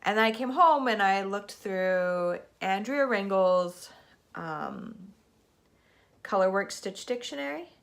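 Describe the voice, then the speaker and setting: A woman's voice: mid-range voice, animated, slow, vocal fry, fairly bright tone. Stuffy-nosed woman chatting about knitting. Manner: chatty, rambling, many ums. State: stuffed up with a cold, sniffling. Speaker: podcast host. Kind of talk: casual knitting podcast chat. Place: at home, seated in a spinning chair in front of a camera. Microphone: camera mic.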